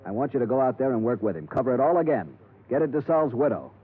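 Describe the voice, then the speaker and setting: A man's voice: medium pitch, big pitch swings, fast, slightly rough. A man acting a worn-out, stubborn and suspicious insurance boss in a 1950s radio drama, grumbling and insistent. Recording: tinny, band-limited 1950s radio recording.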